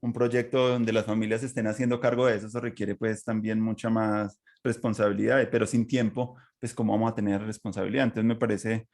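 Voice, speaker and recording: A man's voice: fairly low pitch, flat delivery, fairly quick, hardly any pauses, a little mellow. A man hosting a reflective podcast conversation in long, winding sentences with repeated words. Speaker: podcast host.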